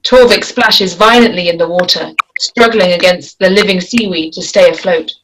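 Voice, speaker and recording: A woman's voice: lowish voice, moderate pace, noisy background. A woman reading stage directions as scripted narration for a screenplay.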